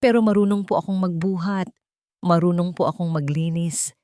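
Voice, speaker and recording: A woman's voice: on the low side, fairly even intonation, normal speed, mellow, dry recording, natural voice. A woman with a Filipino accent voicing a remorseful grown son in an audio story, pleading deferentially with his mother. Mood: humbled, pleading.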